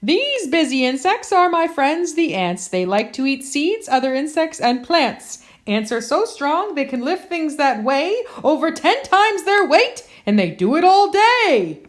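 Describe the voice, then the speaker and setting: A woman's voice: sits high, big pitch swings, unhurried, few pauses. A woman reading a children's picture book aloud in a theatrical, emphatic, playful way.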